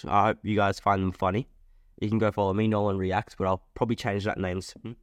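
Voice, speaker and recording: A man's voice: lowish voice, flat delivery, brisk, dry recording, studio quality. A man co-hosting a casual podcast, talking in a joking, rambling way. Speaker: podcast co-host. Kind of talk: casual chat.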